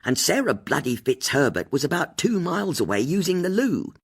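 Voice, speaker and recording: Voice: medium pitch, big pitch swings, brisk, husky, voice pushed up. An audiobook narrator voicing a blunt, indignant woman amateur detective, forthright and a little boastful. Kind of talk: audiobook character dialogue.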